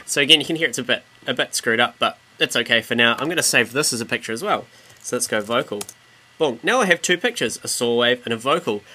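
A man with a New Zealand accent explaining software step by step in an informal, slangy way as a tutorial presenter. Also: medium pitch, quick pace, slightly rough. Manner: relaxed, casual slangy explaining. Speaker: tutorial presenter and music producer.

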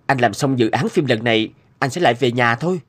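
A man playing an earnest, kindly writer and husband in a cartoon, with a gentle manner of speaking. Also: mid-range voice, expressive, fairly quick. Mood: reassuring. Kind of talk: animated moral tale dubbing.

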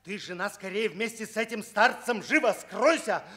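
An actor playing an agitated, scolding husband in a theatrical fairy-tale radio play, exclaiming and declaiming. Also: male voice, sits high, normal speed, a bit bright. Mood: panicked.

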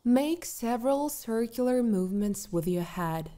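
A woman guiding a meditation with scripted instructions, speaking in a calm, soothing, measured way with long pauses. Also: fairly high pitch. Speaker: meditation guide.

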